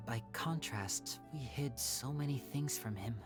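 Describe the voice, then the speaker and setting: An actor playing a young male magician with secrets, speaking in a soft, reflective, measured game-dialogue style. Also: medium pitch, close to an everyday voice. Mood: regretful. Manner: soft-spoken, reflective, measured theatrical delivery. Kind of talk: scripted dramatic game dialogue. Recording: music playing under the voice.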